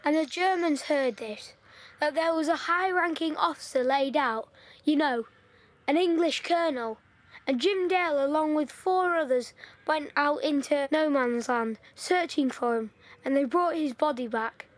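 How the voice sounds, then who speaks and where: Eager chatty wartime boy, high voice, woman in the role; audio play. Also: a little slow, no room sound. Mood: eager storytelling. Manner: eager, storytelling, pushy.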